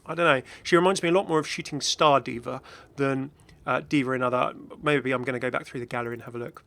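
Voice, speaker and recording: A man hosting a gaming video with casual, excited reaction commentary in a rambling, exclamatory way. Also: mid-range voice, fast talker, vocal fry. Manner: rambling, exclamatory, chuckling asides.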